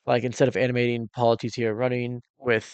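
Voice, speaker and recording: Man, creaky voice, dry close sound, casual reaction commentary, informal, exclamatory, thinking aloud. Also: medium pitch, little pitch movement, quick pace, no echo, highs cut off. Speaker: reaction video host; cinematographer. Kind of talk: casual reaction commentary, explaining camera techniques.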